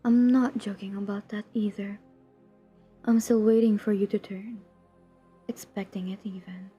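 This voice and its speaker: A woman playing a centuries-old vampire who hunts her own kind in an audio roleplay, cold, menacing and drily teasing, in a deliberate half-whisper. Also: mid-range voice, level tone, mellow, dull audio, faint music behind. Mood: cool menace. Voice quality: breathy, husky, half-whispered. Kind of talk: intimate scripted audio roleplay.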